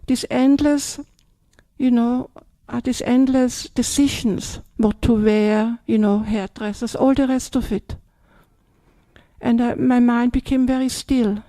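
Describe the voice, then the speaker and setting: Older Austrian woman, nun giving a talk, fairly high voice, dry close sound, chatty. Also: steady tone, takes it slowly, dark tone, no room sound, low-quality recording. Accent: Austrian German. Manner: chatty, tags sentences with "yeah?" and "yes?". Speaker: Buddhist nun. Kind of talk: dharma talk.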